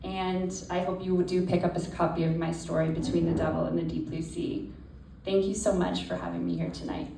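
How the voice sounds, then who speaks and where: A woman speaking as an author, giving an earnest, personal prepared speech to an audience in measured phrases. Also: lowish voice, lively intonation. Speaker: author speaking at a literary event. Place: indoor stage before a live audience. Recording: distant echoey room, faint background noise.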